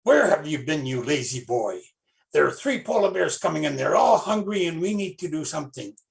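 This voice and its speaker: A man voicing a bossy, mean village man who yells and scolds in a shrill voice. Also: sing-song, fairly quick, gravelly, recorded from a distance. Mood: scolding.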